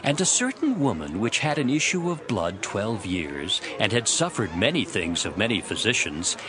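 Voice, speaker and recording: A man's voice: on the low side, big pitch swings, normal speed, crowd noise, rough recording. A man narrating scripture as a dramatized story, in a dramatic storytelling manner. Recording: loud music behind the voice. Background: music.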